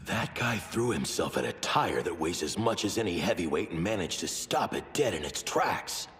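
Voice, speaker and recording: An actor playing a gruff, short-tempered teenage sumo captain in an anime dub, confrontational in manner. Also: male voice, low voice, big pitch swings, moderate pace, fairly bright tone, slight background noise. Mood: impressed. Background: music.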